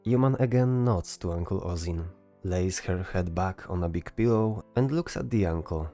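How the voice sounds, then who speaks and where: Mellow low-voiced man narrating fantasy. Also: normal speed. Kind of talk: scripted fantasy story narration. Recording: music behind the voice. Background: music.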